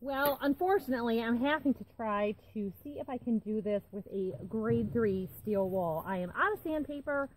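Chatty woman working outdoors, higher voice. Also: moderate pace, hardly any pauses, slight background noise, poor audio. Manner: chatty, thinking aloud as she works. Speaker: homesteading channel host. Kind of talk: casual talk while working. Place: outdoors by a barn on a farm. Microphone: camera on a tripod nearby.

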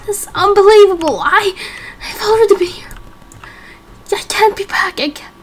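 A woman's voice: very high voice, normal speed, pitched above the performer's own voice. A woman voicing a frightened young boy in an amateur game roleplay, hesitant, stammering and pleading. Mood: panicked, desperate.

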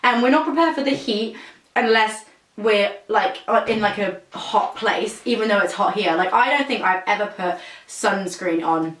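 A woman's voice: medium pitch, normal speed, a bit bright. Chatty young British woman, echoey room. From Britain. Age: young adult. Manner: chatty, rambling, self-mocking. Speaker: singer and vlogger. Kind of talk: casual chat to camera. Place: small room indoors at home.

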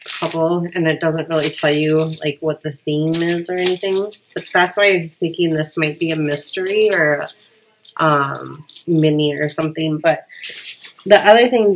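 A woman's voice: deep voice, steady tone, moderate pace, a little hiss or hum, poor audio. A middle-aged woman chatting casually at home while unboxing, thinking aloud with fillers. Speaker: vlogger. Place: living room at home.

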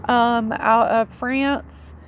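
A woman's voice: on the high side, fairly even intonation, extremely slow. A woman in her forties giving an informal, conversational explainer to camera outdoors, like a teacher showing gear. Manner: informal, conversational, often seeking agreement with "right". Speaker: foraging teacher presenting knives. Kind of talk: casual explainer talk to camera. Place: outdoors under a tree in a leafy yard. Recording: dull sound with outdoor ambient noise.

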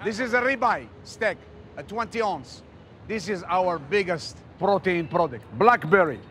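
A man's voice: high-pitched, animated, unhurried, a bit bright, slight background noise. A man working as a provisions manager, explaining and giving instructions on the job in blunt, short phrases. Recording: location sound with music underneath. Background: music.

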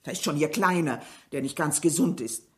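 A woman's voice: sits low, normal speed, natural voice. An actress playing a middle-aged, complaining village mother in a German radio drama, defensive and aggrieved. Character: strict, bitter, complaining French village widow and mother.

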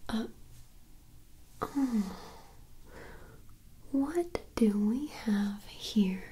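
A young woman playing a fantasy woman who is puzzled by modern things, whispering softly into a close mic with dramatic, playful reactions. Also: a little high, expressive, extremely slow, slight background noise, low-quality recording. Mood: teasing. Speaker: ASMR roleplayer. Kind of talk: ASMR roleplay.

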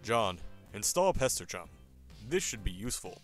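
Man as adventure game narrator, low pitch, dry, wry, instructive, scripted, music behind. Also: big pitch swings, moderate pace. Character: wry second-person narrator issuing adventure game commands.

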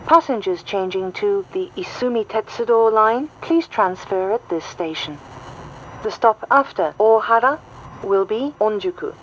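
A woman reading a scripted automated train announcement in a formal, measured and clearly phrased way. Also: medium pitch, expressive. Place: played over a train's announcement system. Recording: muffled train speaker recording, cabin noise. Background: faint train running noise.

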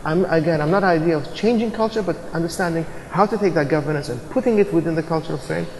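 A middle-aged man speaking on a business conference panel, earnest and halting with fillers. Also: on the high side, a little mellow, long reverb tail, a little hiss or hum, poor audio. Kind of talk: panel discussion. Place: large conference hall stage.

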